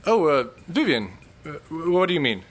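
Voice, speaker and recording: A man's voice: on the high side, sing-song, normal speed. A man playing a timid, awkward young man who hesitates and stammers. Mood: hesitant.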